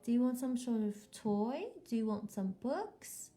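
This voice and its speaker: Mellow-voiced woman hosting children's storytime. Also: on the high side, unhurried, faint music behind. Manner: chatty, encouraging, asks children lots of questions. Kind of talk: casual live-stream chat with children.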